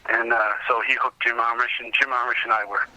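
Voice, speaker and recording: A man's voice: medium pitch, level tone, a little fast, bright, forceful tone. A middle-aged man being interviewed, telling casual anecdotes from his own experience as a researcher and collector. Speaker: interview guest, comic book researcher and collector. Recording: dull lo-fi phone-like call audio.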